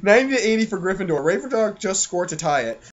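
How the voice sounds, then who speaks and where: A man's voice: sits high, sing-song, fast, lo-fi sound. A man co-hosting a comedy podcast, reading a childhood fan fiction aloud and joking, breaking into giggles. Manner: giggly, keeps breaking into laughter while reading. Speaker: co-host.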